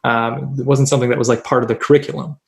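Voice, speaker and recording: A man's voice: medium pitch, flat delivery, fast, creaky. A man from the Philippines, raised in the United States, speaking as an author guest in a panel conversation, thoughtful and full of fillers.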